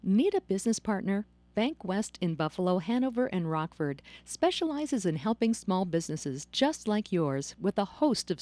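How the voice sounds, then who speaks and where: An American woman reads a scripted radio commercial. Her voice is mellow and sits at a middle pitch with animated intonation, recorded dry and close. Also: no echo. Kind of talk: scripted ad read.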